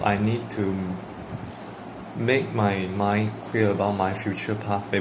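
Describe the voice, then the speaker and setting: A man's voice: fairly low pitch, noisy background. A young man from Malaysia with a Malaysian English accent, Mandarin his first language, talking casually to the camera in a personal vlog with lots of fillers and restarts. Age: young adult. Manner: hesitant, many fillers and restarts. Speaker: vlogger.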